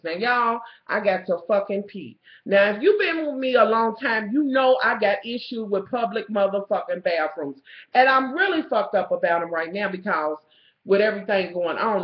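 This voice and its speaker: Raspy young woman ranting on webcam. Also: medium pitch. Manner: loud, blunt and swearing, talking to viewers directly. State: coming down with a cold. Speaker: vlogger. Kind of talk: profane ranting storytelling. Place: small room at home, indoors. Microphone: webcam in front of the face. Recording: roomy, muffled webcam audio.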